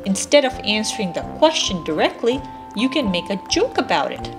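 Woman, thirties, children's read-aloud, expressive, animated pitch, clear music in background. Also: moderate pace. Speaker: storybook reader, parent.

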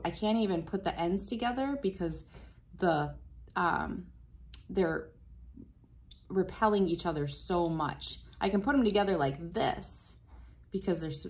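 A woman's voice: lowish voice, darkish tone. Woman reading aloud to young children. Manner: instructive, addressing young children. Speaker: children's read-aloud host. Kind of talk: reading a picture book aloud with casual demonstration asides. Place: small wood-panelled room indoors.

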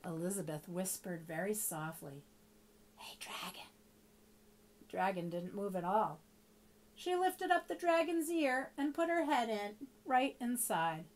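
A woman's voice: medium pitch, unhurried, slight background noise. A woman in her fifties reading a picture book aloud expressively for young children. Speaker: storybook reader.

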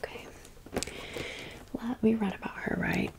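An American woman whispering in a chatty, rambling ASMR style, as a video creator chatting through a haul and story. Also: unhurried. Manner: chatty, rambling, repeats words softly.

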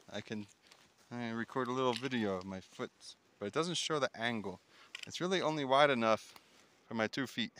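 A man's voice: lowish voice, bright, forceful tone. A man narrating a casual solo hiking vlog in a chatty, wonder-struck way, speaking outdoors into a handheld camera. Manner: chatty, exclaiming in wonder, often chuckling. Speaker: solo hiker vlogging. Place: outdoors in windy, open mountain terrain. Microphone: handheld phone or camera while walking. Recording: noisy outdoor recording with clicks.

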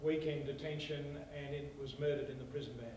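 A man's voice: on the high side, steady tone, brisk, darkish tone, slight background noise. A man on a panel giving a matter-of-fact example. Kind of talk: panel discussion. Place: small meeting room. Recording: echoey room from far off.

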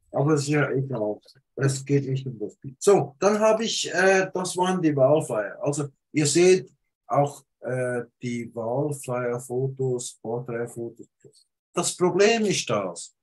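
Guy with a cold on zoom, husky, blunt, lots of uhs. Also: mid-range voice, darkish tone, poor audio. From Switzerland. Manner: blunt, critiquing, hesitant with many fillers. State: has a cold. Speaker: photographer giving feedback. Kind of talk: casual video call discussion. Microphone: video call.

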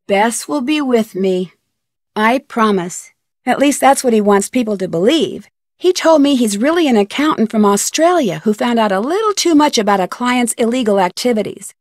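A young American woman playing a plucky, determined detective in an adventure game, speaking earnestly and clearly. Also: a little high, dry recording, studio quality, lightly acted. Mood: earnest, concerned, then matter-of-fact. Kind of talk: scripted game dialogue.

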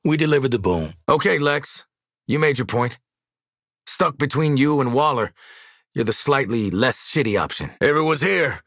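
An actor playing a tough, cocky, wry mercenary sniper in a video game, with streetwise, slangy, profane swagger. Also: male voice, medium pitch, expressive, moderate pace, fairly bright tone, no echo, studio quality. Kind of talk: short video game combat and banter lines.

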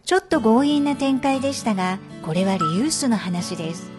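High-voiced woman narrator over music. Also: animated, brisk. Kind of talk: scripted narration, storytelling and explainer.

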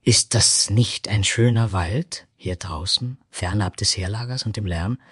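A man voicing a blunt, resolute female goblin barbarian in a fantasy audio drama, with a husky voice and deliberate, dramatic delivery. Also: on the low side, fairly bright tone, dry recording, studio quality. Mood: curious.

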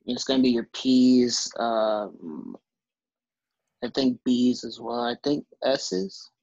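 A man's voice: mid-range voice, lively intonation, slow, creaky, darkish tone, no room sound, muffled recording. A man answering hesitantly as a student on a video call. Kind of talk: answering in class.

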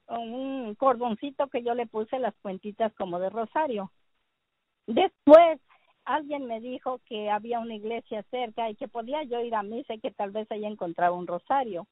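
A woman's voice: a little high, no echo. A middle-aged woman from Jalisco, Mexico, telling her story by phone in a hesitant way with many fillers. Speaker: caller giving testimony. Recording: dry, tinny phone line.

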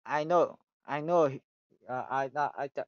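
Young male host, Russian accent, excitable and joking, slightly high voice. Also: moderate pace, no echo, lo-fi sound. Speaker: channel host. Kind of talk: casual prank chat on a voice call. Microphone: phone on a voice call.

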